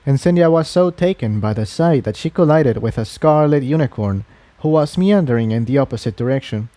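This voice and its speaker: A man narrates a fantasy story from a script. His voice is mid to slightly high for a man. The recording is close and free of room echo. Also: animated, fairly quick, dark tone. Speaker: narrator of a fan fiction audiobook.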